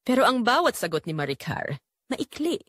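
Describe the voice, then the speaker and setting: Woman playing a heartbroken mother, lively expressive pitch. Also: moderate pace, dry recording. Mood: hurt, reflective. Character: wounded middle-aged mother confessing her heartbreak. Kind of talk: audio drama first-person narration.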